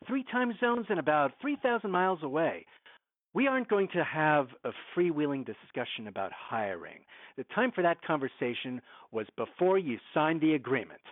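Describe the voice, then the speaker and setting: An actor playing a domineering, evasive film studio executive in an audio drama, condescending and clipped, heard over a thin phone line. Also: male voice, a little high, very animated, normal speed, no room sound. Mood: stern, commanding. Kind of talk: modern audio drama acting.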